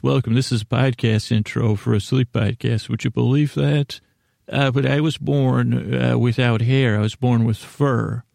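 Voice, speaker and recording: A man hosting a sleep podcast, delivering a meandering, halting bedtime monologue full of self-interruptions and deadpan, gently silly tangents. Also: medium pitch, creaky, dry recording, studio quality. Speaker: podcast host.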